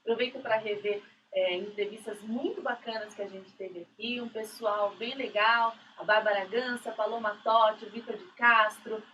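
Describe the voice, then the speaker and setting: A woman's voice: mid-range voice, steady tone, moderate pace, hardly any pauses, bright, forceful tone, lo-fi sound. A woman, co-hosting a casual, chatty radio talk show. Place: radio studio. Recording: distant mic, some background noise.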